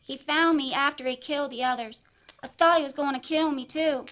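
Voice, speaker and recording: An actress playing a young frontier woman blinded by a killer, telling her story in a halting, haunted way. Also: sits high, steady tone, fairly bright tone. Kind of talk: audio drama acting. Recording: dull lo-fi audio.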